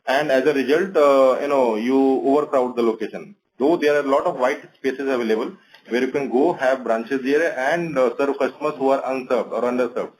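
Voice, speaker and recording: A man's voice: medium pitch, fairly quick, few pauses, poor audio. Indian-accented male bank executive, methodical. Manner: explanatory, methodical, point by point. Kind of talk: answering questions on an earnings conference call.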